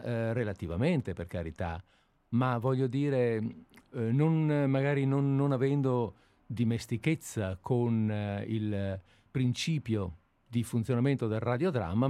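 A man's voice: medium pitch, warm tone, no room sound. A man discussing literature informally as a host, hesitant and often repeating himself as he searches for words. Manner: hesitant, halting, searching for words with frequent repetitions.

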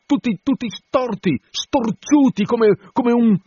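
An excitable harpooner stammers in broken, repeated fragments. The voice jumps far up in pitch and is strained and husky, groping loudly for each word. The audiobook recording is dry and slightly dull. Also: male voice, dry recording, exaggerated voice. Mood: excited groping. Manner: halting, repeating, searching for words.

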